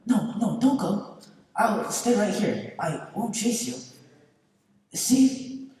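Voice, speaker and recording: A man on stage playing a stubborn, kindly old man, earnest and deliberate, mixing in Spanish words. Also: high-pitched, big pitch swings, mellow, heavily acted. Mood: reassuring. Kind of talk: stage play acting. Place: theatre stage, far camera. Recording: echoey theatre hall from far off.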